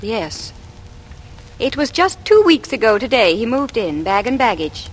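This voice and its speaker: Intense Englishwoman, very expressive pitch. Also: unhurried. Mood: urgent suspicion. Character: earnest, suspicious, probing bespectacled young Englishwoman. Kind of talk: 1940s radio acting. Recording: noisy vintage radio recording.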